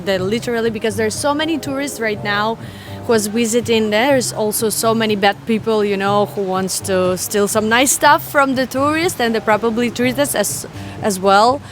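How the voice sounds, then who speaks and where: Woman, fairly high voice, soft music. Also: moderate pace. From Russia. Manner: hesitant, non-native phrasing. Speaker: interviewee. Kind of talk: casual street interview.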